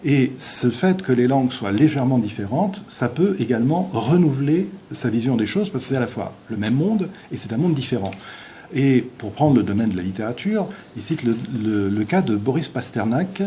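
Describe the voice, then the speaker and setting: A male visiting university lecturer, a native Portuguese speaker, giving an academic lecture in a halting way with many restarts and fillers. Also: medium pitch, expressive, mellow, slight background noise.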